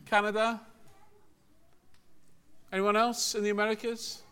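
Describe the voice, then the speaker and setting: His voice sits notably high for a man, and he speaks. He delivers a sermon as if in conversation, informal and joking. He likes to draw his congregation in with questions. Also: completely flat delivery, a little slow, bright, forceful tone, smooth. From Liverpool, England. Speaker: preacher.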